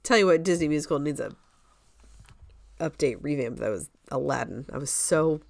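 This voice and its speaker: A woman's voice: low-pitched, animated, moderate pace, vocal fry, darkish tone, no room sound. A woman co-hosting a podcast in casual conversation, sharing opinions in an informal, fannish way. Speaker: podcast co-host. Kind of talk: casual chat about musical theatre.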